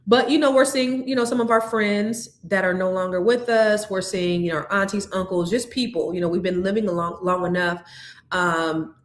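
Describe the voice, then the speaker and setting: A woman's voice: lowish voice, roomy sound. A woman in her fifties hosting a live money podcast, chatting casually and talking straight to her audience with slang. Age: 50s. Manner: lively, slangy, addresses audience directly. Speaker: podcast host. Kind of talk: live stream casual chat.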